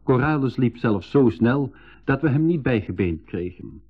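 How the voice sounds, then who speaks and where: A man playing an eager but sceptical brother and narrator in a 1980s educational radio drama, clearly enunciated, in a dull recording. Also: mid-range voice, lively intonation, moderate pace. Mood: narrating.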